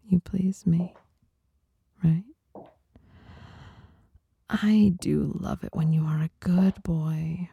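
A woman performing an intimate ASMR monologue in a breathy whisper, seductive, teasing and softly dominant, close to the microphone. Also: on the low side, dry recording, dull audio. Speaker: performer of adult audio recordings.

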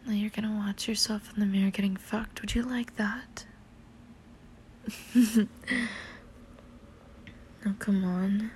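A woman speaking in a teasing, commanding tone, mostly in a breathy whisper, for roleplay audio. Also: mid-range voice, level tone, slow pace, long pauses, a little mellow, a little hiss or hum.

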